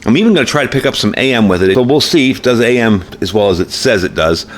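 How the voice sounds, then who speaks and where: A man's voice: medium pitch, animated, quick pace. A middle-aged American man giving a casual, informal product review, explaining things as he goes. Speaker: gear review host. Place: indoor workbench, later outdoors by the house.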